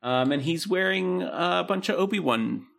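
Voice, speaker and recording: A young man co-hosting a podcast, speaking with a gravelly, creaky texture. He chats in a laid-back, rambling way and fills his pauses with plenty of ums. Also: high-pitched, animated, a bit bright. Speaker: podcast co-host. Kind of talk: casual chat.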